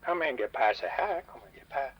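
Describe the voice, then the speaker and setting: A man's voice: medium pitch, lively intonation, fairly quick, husky, lo-fi sound. An actor playing a reluctant, folksy diner owner who rambles offhand through his own comedic radio advert. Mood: offhand, rambling.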